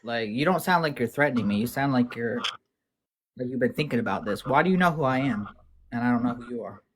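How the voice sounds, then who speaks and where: A man's voice: mid-range voice, animated, fast talker, a little hiss or hum. A man gossiping wryly on a casual livestream.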